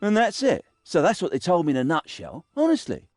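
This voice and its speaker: A cowardly fantasy-game thief, his voice raised and jumping around in pitch. He is hesitant and wryly reluctant, heard dry and close. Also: big pitch swings, fairly quick, a little mellow, no echo, faint music behind. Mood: nervous wariness. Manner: hesitant, sardonic.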